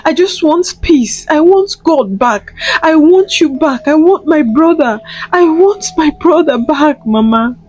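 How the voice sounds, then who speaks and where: Lady doing a young woman begging in a folktale, real high and tearful, keeps repeating herself. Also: animated, unhurried, few pauses. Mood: pleading surrender. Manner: stammering, repetitive pleading. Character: desperate young woman singer pleading and sobbing.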